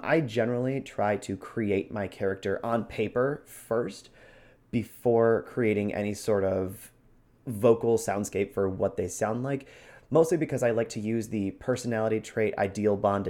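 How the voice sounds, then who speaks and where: A man's voice: fairly low pitch, a little mellow. A man co-hosting a podcast in a casual, conversational discussion style. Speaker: podcast co-host.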